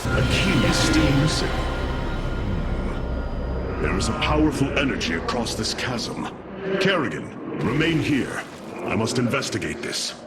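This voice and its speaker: An actor voicing a noble, resolute alien warrior leader in a video game, speaking in a measured, formal, commanding way. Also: male voice, medium pitch, sing-song. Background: loud game music.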